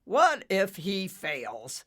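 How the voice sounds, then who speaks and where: Brief: smug booming old storm-cloud dad, woman reader. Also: wildly swooping pitch, drawn-out words, a bit bright, husky, full character voice.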